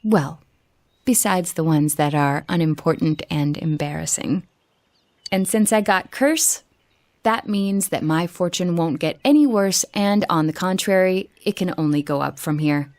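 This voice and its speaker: A woman's voice: lowish voice, a little slow, a little mellow. Reserved young female detective. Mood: wry, composed. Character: reserved young detective girl, thoughtful, modest and easily embarrassed. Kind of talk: video game dialogue acting.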